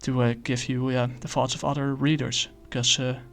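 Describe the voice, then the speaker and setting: A man casually reviewing a comic book, talking in a halting way with many fillers and restarts. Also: mid-range voice, little pitch movement, fairly bright tone.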